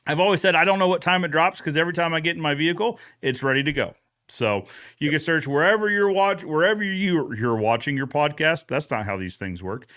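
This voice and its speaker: A man's voice: on the high side, expressive, a little fast, fairly bright tone. A man hosting a podcast recap in a casual, folksy, conversational way. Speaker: host of a podcast recap show.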